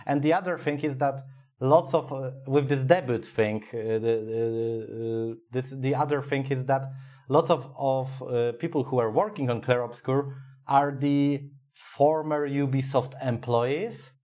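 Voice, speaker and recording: A man with a Polish accent co-hosting a podcast, speaking English as a second language. He talks casually in a halting way, searching for words with many fillers and swearing freely. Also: medium pitch, steady tone, darkish tone. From Poland. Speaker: podcast co-host.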